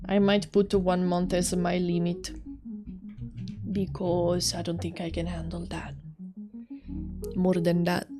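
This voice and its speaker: A woman's voice: lowish voice, completely flat delivery, takes it slowly, long pauses, music in the background. A woman from Italy with an Italian accent, chatting casually on a livestream while she draws; she is chatty and giggly, often trailing off and starting her sentences again. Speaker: streamer.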